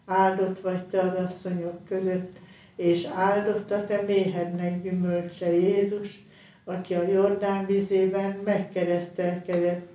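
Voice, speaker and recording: A woman leading a rosary, reciting the prayer in a measured, monotone way in a very echoey space, away from the mic. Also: on the low side, recorded from a distance.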